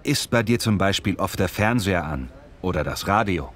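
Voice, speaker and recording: A man's voice: low-pitched, lively intonation, normal speed. A man playing a courteous street reporter asking survey questions in a scripted language-lesson dialogue. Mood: polite, inquiring. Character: polite male street reporter doing a survey. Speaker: interviewer.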